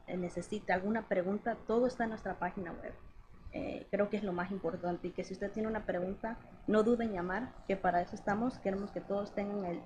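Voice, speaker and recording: A woman from Georgia working for an election office, a radio guest giving steady, informative answers with small "eh" pauses. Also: mid-range voice, background noise.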